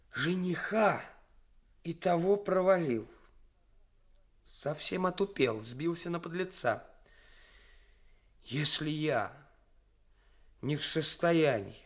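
Highly animated young theatrical schemer. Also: male voice. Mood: anxious brooding. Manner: theatrical, declamatory, self-important. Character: young male actor posing as a brazen, cynical bridegroom. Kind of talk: radio play acting. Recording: radio play recording with music.

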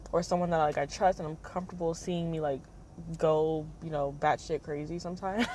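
A young woman hosting her own podcast, talking casually in a monotone, rambling manner with lots of fillers. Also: a little hiss or hum. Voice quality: monotone, low-key delivery. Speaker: podcast host. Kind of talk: casual personal monologue.

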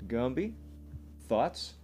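An upbeat man hosts a laid-back podcast, cheerful and quick to laugh. A faint guitar plays softly behind him. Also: medium pitch, very animated, breathy edge. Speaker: podcast host. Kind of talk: casual podcast chat.

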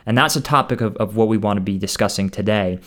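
Low-pitched man hosting an interview. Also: fast, a bit bright. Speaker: podcast host. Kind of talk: conversational interview.

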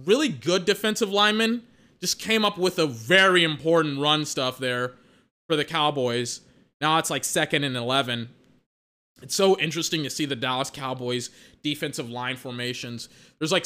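Bright-voiced excitable young football commentator. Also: male voice, a little high. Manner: excitable, peppered with filler words and mock voices. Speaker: watch-along football commentator. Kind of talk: casual live play-by-play and analysis.

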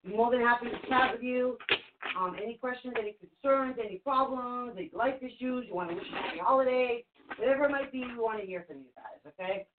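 A woman's voice: on the high side, fast, noisy background. A woman whose first language is Portuguese, hosting a live call-in psychic reading show in a reassuring, chatty way that repeats things for emphasis. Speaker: spirit reader and show host. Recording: phone-like narrow sound.

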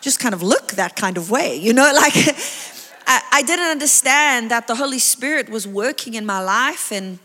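A South African woman in her thirties preaching a sermon, chatty and animated, with lots of exclamations and asides. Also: fairly high pitch, moderate pace. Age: 30s. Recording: long reverb of a large hall.

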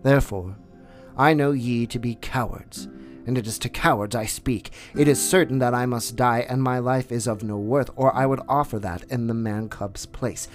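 A man's voice: medium pitch, steady tone, moderate pace, natural voice. A man voicing an old, weary male wolf who leads the pack, speaking with solemn dignity over faint music. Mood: resigned.

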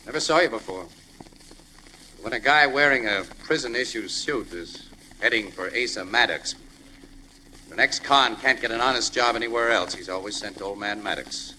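An actor playing a breezy, wisecracking American newspaper crime photographer in a 1940s radio drama. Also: male voice, lowish voice, normal speed, sharp tone. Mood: easygoing, knowing. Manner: wisecracking, slangy, easygoing. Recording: noisy old radio broadcast recording.

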